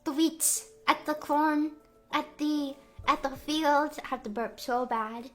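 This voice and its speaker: A young woman from the Midwest chatting playfully on a live stream, answering chat guesses, full of "like" fillers and drawn-out vowels. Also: high voice, soft background music. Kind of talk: casual live-stream chat reading comments.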